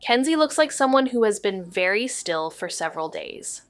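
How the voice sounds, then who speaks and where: An actress as an observant woman detective in a noir podcast. She has a medium-pitched voice in a dry, close recording. Her delivery is measured and deadpan. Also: no room sound, natural voice. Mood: brooding narration. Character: observant, understated noir woman investigator narrating scenes. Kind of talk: noir audio drama narration and dialogue.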